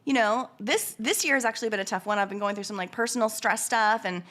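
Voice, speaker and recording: A woman co-hosting a casual podcast, hoarse and sniffly from a summer cold, musing earnestly and talking with animated swings. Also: medium pitch, fast talker. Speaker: podcast co-host.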